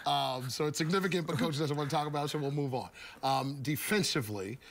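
A middle-aged man hosting a postgame TV studio interview, joking and animated as he asks questions. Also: a little high, fast talker, vocal fry, slight background noise. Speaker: studio host. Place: TV studio set.

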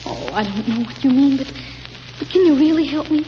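An actress playing a suffering, desperate schoolboy, plaintive and impassioned, in 1930s radio acting. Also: female voice, high voice, lively intonation, fairly quick, mellow, big-room sound. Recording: muffled vintage radio, faint hiss.

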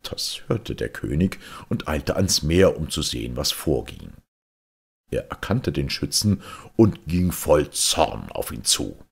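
Very deep, gravelly male storyteller. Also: very animated, slow pace. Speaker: storyteller, audiobook reader. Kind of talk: scripted fairy-tale narration.

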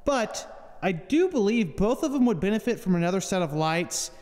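A man's voice: sits high, very animated, moderate pace, darkish tone, in a large hall. A young man, a farmer, talking to camera in a dry, self-deprecating, chatty way. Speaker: farmer and vlogger. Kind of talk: casual vlog narration. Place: on a farm, partly outdoors and in a tractor.

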